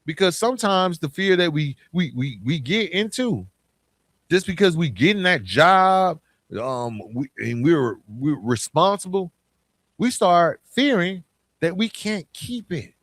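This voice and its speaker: A man's voice: sits high, big pitch swings, a little slow, a bit bright, no room sound. An American man hosting a podcast, speaking in a casual motivational monologue, emphatic and colloquial, putting questions straight to the listener. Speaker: podcast host.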